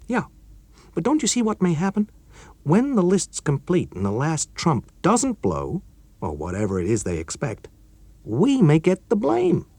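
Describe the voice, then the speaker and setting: A man's voice: on the high side, huge pitch swings, measured pace, darkish tone, no echo, voice pushed up, heavily acted. A man reading a story, voicing an urgent, nervous young male technician in a raised, jumpy voice.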